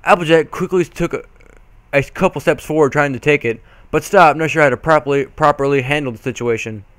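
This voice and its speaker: A man's voice: a little high, brisk, fairly bright tone, smooth, no echo. A man doing an amateur dramatic reading of a story, reluctant and stumbling over words now and then. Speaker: reader of fan fiction.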